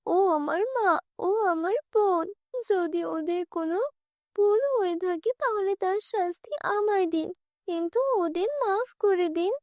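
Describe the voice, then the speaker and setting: A gentle, forgiving cartoon girl, played by a woman with a very high voice. The delivery is earnest and polite, in a dry recording. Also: measured pace, no echo. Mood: pleading. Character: kind, forgiving wronged girl, gentle stepdaughter.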